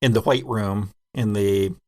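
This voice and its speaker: A man's voice: fairly low pitch, steady tone, a little slow, dark tone. A man hosting a casual roundtable chat as a podcast host and game master.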